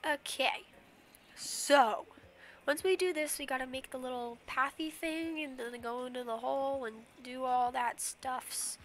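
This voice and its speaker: A young woman chatting away while she plays on stream, high and bright in tone, drifting between thoughts and humming little nonsense tunes. She is a bit sniffly, and she speaks into a headset with a faint hiss of noise underneath. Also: fairly even intonation, measured pace. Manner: rambling, chatty, hums silly nonsense sounds. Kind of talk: casual livestream commentary while playing.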